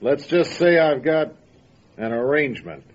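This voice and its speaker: A man playing a gruff, stubborn, guarded mountain recluse in an old-time radio drama. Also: fairly high pitch, very animated, unhurried. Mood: defiant, grudging. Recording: dull lo-fi radio drama recording, faint noise.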